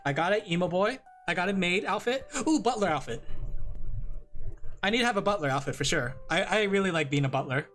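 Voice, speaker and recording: A man's voice: sits high, expressive, fairly quick, a bit bright. A man streaming as a vtuber, chatting casually with his viewers while drawing, rambling, laughing often and putting himself down.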